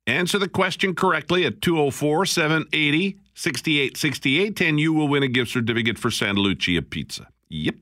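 A male radio host with a lively, swinging pitch and a bright, forceful tone. He talks in a chatty, animated way and laughs easily. The sound is close and dry, as from a studio microphone. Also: no echo. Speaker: radio call-in show host. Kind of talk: casual call-in radio chat.

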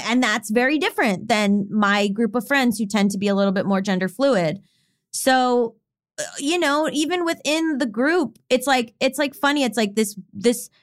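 A woman co-hosting a podcast in casual chat, with a fast, intense delivery that sounds like she is arguing even when she is just talking. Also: fairly high pitch, smooth. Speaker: podcast co-host.

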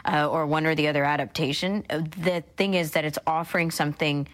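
A woman with a notably low voice co-hosts a discussion. She speaks conversationally, offering comments and questions in a casual style. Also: fairly even intonation, a little fast. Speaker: co-host.

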